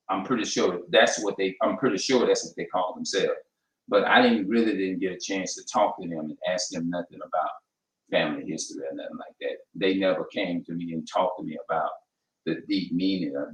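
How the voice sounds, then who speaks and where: Low-voiced New man, rambling. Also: fairly bright tone, distant mic. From New Orleans, USA. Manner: rambling, hesitant, many restarts and fillers. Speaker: channel host. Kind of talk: casual vlog talk. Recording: echoey room, voice off-mic.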